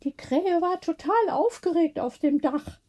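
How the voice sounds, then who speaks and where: A woman voicing a chatty, grumbling sister in an animated, emphatic way. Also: very high voice, a little slow, pitched above the performer's own voice. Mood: excited. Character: Luzi, grumbling, chatty sister who loves watching crows.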